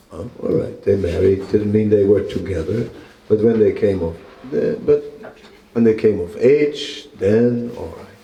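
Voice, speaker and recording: An older man speaks slowly into a stand mic in a small temple room, his lowish, mellow voice carrying a roomy echo. He talks in a conversational, wry way and often chuckles at his own jokes. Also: creaky. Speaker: monk lecturer. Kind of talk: relaxed scripture class. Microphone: stand mic close in front.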